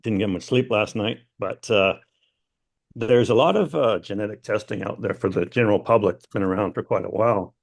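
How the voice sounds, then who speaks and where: A tired man with a raspy voice asking a rambling, friendly question on a video call. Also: fairly low pitch, fairly quick. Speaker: audience member asking a question.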